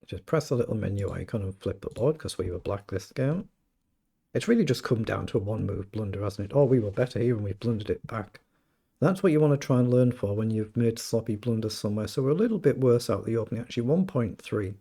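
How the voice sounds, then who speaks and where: Slightly croaky British man thinking aloud. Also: on the low side, expressive, fast talker, mellow. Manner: thinking aloud, self-questioning. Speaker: chess streamer. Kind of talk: casual live game commentary.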